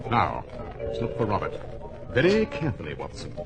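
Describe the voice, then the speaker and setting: An actor playing a self-assured gentleman detective in a 1940s radio drama, clipped, precise and authoritative. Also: on the low side, wildly swooping pitch, fairly quick, creaky, exaggerated voice. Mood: urgent, cautious.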